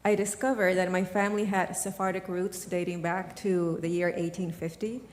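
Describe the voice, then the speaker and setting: A woman's voice: lowish voice, little pitch movement, moderate pace, a little hiss or hum. A woman giving a prepared, earnest testimony at a service in a synagogue sanctuary. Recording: long hall reverb.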